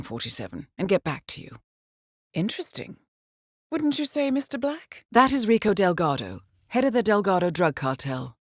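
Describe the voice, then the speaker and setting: An actress playing a composed, shrewd woman who guides an assassin in a video game, speaking in a measured, articulate, formal and coolly understated way. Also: on the low side, dry recording, clean studio sound. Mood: wry, curious. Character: composed, shrewd female handler guiding an assassin. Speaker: mission handler. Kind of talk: video game voice acting, mission briefing.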